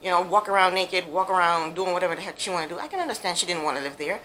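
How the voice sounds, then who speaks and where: A woman's voice: on the low side, expressive, rapid-fire, a bit bright, a little hiss or hum. A woman from Jamaica giving a chatty, frank, opinionated recap of a reality show, with plenty of ums and asides. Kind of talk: casual monologue, opinionated recap.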